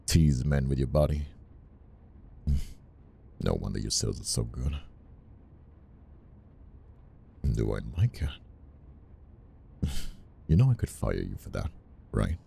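Man acting very deep, gravelly flirtatious boss. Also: sing-song, dark tone, a little hiss or hum, full character voice. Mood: teasing, reluctant. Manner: slow, breathy murmuring with sighs. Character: flirtatious, conflicted male boss, dominant and teasing. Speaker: voice actor and ASMR roleplayer. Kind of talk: intimate ASMR roleplay acting. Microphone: close ASMR microphone.

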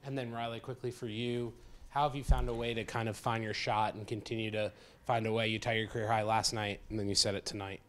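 Brief: male moderator, lowish voice, quick brisk questions. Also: level tone, fairly bright tone. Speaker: press conference moderator. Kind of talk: press questions.

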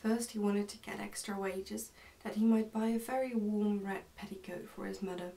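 A young woman reads a storybook aloud in a soft, hushed, careful ASMR style. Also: mid-range voice, fairly even intonation, normal speed, gravelly, recorded from a distance. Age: young adult. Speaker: ASMR narrator reading a book aloud. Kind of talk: ASMR storybook reading, soft-spoken and whispery. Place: indoors, small plain room.

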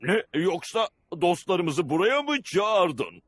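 An actor playing a fussy, anxious husband in a dubbed cartoon, indignant and stammering with a husky voice. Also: male voice, sits high, sing-song, slow, fairly bright tone, dry recording, clean studio sound, exaggerated voice. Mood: flustered worry.

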